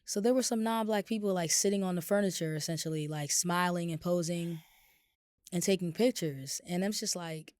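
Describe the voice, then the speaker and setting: A woman with a slightly low, cold-roughened raspy voice talks casually on a podcast. She meanders through her thoughts with plenty of fillers. The sound is close and dry. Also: moderate pace, dry recording. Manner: relaxed, rambling with many fillers. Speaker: podcast co-host. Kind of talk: casual chat.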